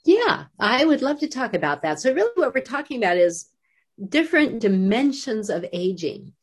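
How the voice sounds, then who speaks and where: A woman's voice: fairly high pitch, big pitch swings, moderate pace, husky. A sixty-year-old woman co-hosting a casual chat, friendly and curious, asking follow-up questions. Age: 60s. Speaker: co-host.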